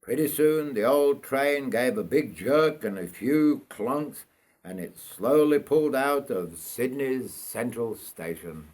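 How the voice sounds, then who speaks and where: An older man from North Yorkshire reads his own memoir aloud in a measured, reflective storytelling manner. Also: medium pitch, lively intonation, darkish tone. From North Yorkshire, England. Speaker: writer reading own memoir. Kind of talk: scripted autobiographical storytelling.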